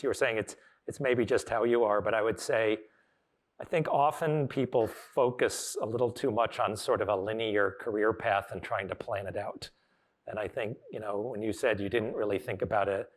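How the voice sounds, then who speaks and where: A man hosting a conversational interview, phrasing his questions and comments in a rambling, circling way. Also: on the low side. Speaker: interviewer, university dean.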